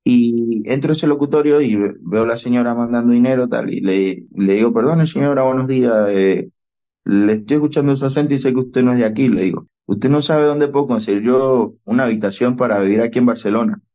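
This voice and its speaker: A young Venezuelan man, a podcast guest, telling his story with animated, reenacted dialogue. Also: mid-range voice, brisk. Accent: Venezuelan Spanish. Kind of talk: storytelling interview. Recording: dull, lo-fi podcast audio.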